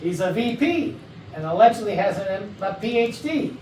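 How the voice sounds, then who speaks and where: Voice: medium pitch, expressive, moderate pace, darkish tone, close to an everyday voice. An actor playing a blunt detective who presses suspects with authority in a staged murder mystery comedy. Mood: pressing. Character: blunt, probing detective leading a murder interrogation. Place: rehearsal room for a staged reading. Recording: echoey room, distant mic, background noise.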